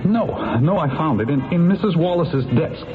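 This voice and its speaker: Actor as a nervous stammering witness, highish voice. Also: male voice, animated, normal speed, natural voice. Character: nervous, contrite man testifying about a shooting. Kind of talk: classic radio drama acting. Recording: tinny old radio, music behind.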